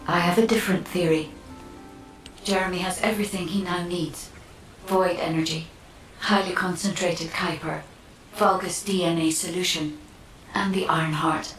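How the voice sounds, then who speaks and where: An actress playing a calm, analytical science officer in a video game, speaking in a measured, precise and composed way. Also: female voice, fairly low pitch. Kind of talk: dramatic video game cutscene acting. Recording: echoey, distant, noisy game audio.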